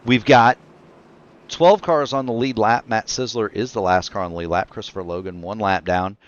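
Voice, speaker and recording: A man calling a virtual stock car race as play-by-play commentator, quick and excitable on the action, his voice getting tired. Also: lowish voice, dull audio. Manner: rapid excited play-by-play, self-correcting. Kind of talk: sim racing commentary.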